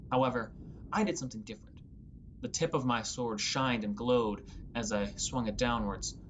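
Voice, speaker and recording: This is an audiobook narrator telling a fantasy adventure in the first person. The voice sits at a medium pitch and reads from a script. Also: normal speed, background noise.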